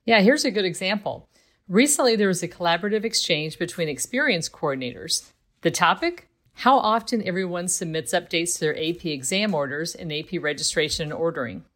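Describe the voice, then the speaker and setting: A woman reads a promotional script in a somewhat low voice. She sounds friendly, and the delivery is clearly read aloud. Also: expressive, a little fast, a bit bright. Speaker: online community moderator.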